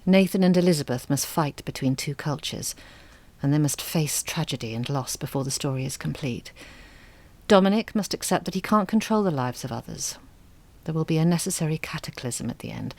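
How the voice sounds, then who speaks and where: An actress playing an earnest, self-deprecating but quietly determined woman writer in an audio drama, measured and pleading. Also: sits low.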